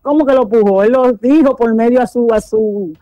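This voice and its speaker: A woman on a phone call, talking nervously and haltingly and repeating herself. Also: fairly high pitch, mellow, no room sound. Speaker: prank call victim. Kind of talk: phone conversation. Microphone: telephone call.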